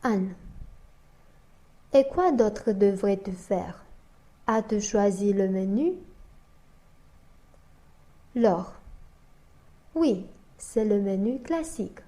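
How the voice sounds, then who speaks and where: Slow, mellow-voiced woman reading dialogues. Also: mid-range voice, lively intonation. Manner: slow, deliberate, reads both parts and announces each speaker. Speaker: narrator reading language-learning dialogues.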